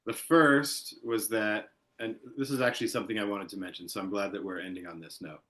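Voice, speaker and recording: A man with a lowish voice, speaking as a podcast guest. He talks in an earnest, reflective way, pausing with ums as he thinks. The tone stays casual and conversational. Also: fairly even intonation, sharp tone. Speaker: guest editor and writer. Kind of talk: casual interview chat.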